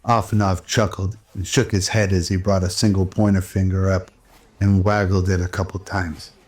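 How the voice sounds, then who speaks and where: A man's voice: low-pitched, flat delivery, normal speed, darkish tone. A man narrating a scripted radio drama as storyteller.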